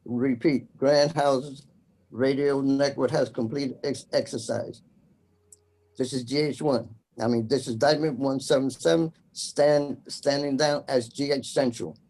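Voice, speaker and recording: A man practicing formal emergency radio procedure, announcing scripted messages in a deliberate way as a trainee net controller. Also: mid-range voice, fairly even intonation, a little mellow. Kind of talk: scripted radio procedure drill with call signs.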